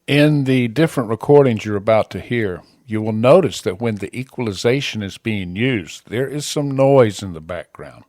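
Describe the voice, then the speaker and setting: A man presenting a scripted amateur radio tutorial in plain, measured short statements, close on a broadcast microphone. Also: fairly low pitch, expressive, a little mellow, dry recording. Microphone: close broadcast podcast microphone.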